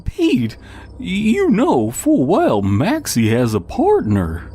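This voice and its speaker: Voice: medium pitch, wildly swooping pitch, slow, warm tone, slight background noise, exaggerated voice. A performer voicing an exasperated, scolding cartoon dog dad in a comedy skit.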